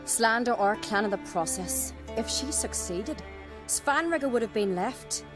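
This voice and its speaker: An actress playing a shrewd, cool-headed woman in a fantasy game, speaking in a knowing, measured way. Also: fairly high pitch, lively intonation, music in the background. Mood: shrewd, certain. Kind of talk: dramatic fantasy game acting. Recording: dramatic score behind dialogue.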